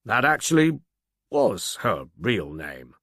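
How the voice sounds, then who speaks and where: A man's voice: on the low side, big pitch swings, slow pace, a bit bright, no echo, studio quality, exaggerated voice. A man voicing a cautious, easily abashed but eager policeman in an audiobook. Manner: cautious, tentative.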